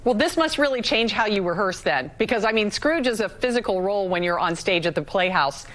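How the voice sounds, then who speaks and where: Woman, TV interviewer, friendly, informal, conversational broadcast delivery, medium pitch. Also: animated, fairly quick, a bit bright. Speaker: TV news host interviewing a guest. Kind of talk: warm chatty broadcast interview.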